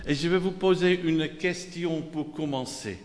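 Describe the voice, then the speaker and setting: A man with a Dutch accent preaches in slow, deliberate French, his somewhat high voice ringing with a long reverb through the hall. He reads scripture aloud, repeats phrases in rhythmic lists and calls out to the congregation. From Netherlands. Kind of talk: sermon.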